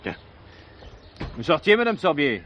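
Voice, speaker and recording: An actor playing a police commissioner in a 1970s radio drama, questioning witnesses with courteous insistence. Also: male voice, on the high side, big pitch swings, measured pace, a bit bright, a little hiss or hum. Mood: insistent.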